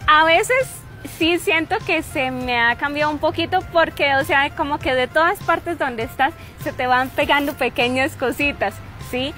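Emphatic Santander Colombian woman, high voice. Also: normal speed, hardly any pauses, faint music behind. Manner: forceful, emphatic delivery with frequent "¿sí?" tags. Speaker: interviewee. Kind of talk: street interview anecdotes. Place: outdoor park with trees.

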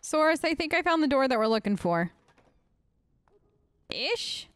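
A woman's voice: high-pitched, big pitch swings, measured pace, no echo. A woman doing chatty, sarcastic in-character commentary over a video game, close on the mic. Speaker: gaming video host.

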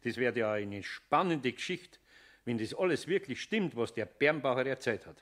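An actor playing a good-natured, patient older carpenter with a Bavarian accent in a German children's radio play, folksy and conversational. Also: male voice, medium pitch, lively intonation, moderate pace, a bit bright, lightly acted. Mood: musing.

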